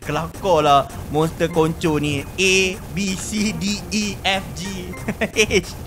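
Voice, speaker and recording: A man's voice: high-pitched, steady tone, extremely slow, over music. A young man with a Malaysian accent doing playful, exclamatory roleplay commentary as host of a gaming video, repeating words for emphasis. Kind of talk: excited roleplay game commentary.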